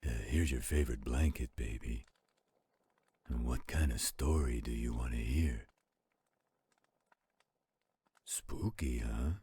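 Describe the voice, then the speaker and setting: A man playing a gentle, protective werewolf in a roleplay audio, telling bedtime stories in a slow, intimate, caring way. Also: full character voice. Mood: fond, hesitant. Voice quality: very deep, husky, gravelly growl. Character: gentle protective male werewolf, soothing storyteller to his partner.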